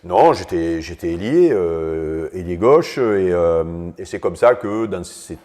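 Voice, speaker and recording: Older guy, real deep voice, lots of euh, deadpan jokes. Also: measured pace. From Vaucluse, southern France. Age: 60s. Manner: rambling, many hesitant fillers, dry self-mocking humor. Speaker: guest, former footballer. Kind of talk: casual podcast interview.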